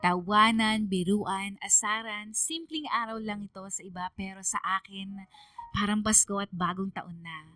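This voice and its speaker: A sentimental young Filipino daughter voiced by a woman narrates a letter on a radio drama. She is heartfelt and confiding, bright in tone, with dry sound and music behind. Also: mid-range voice, moderate pace, no echo, lightly acted. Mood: grateful, tender.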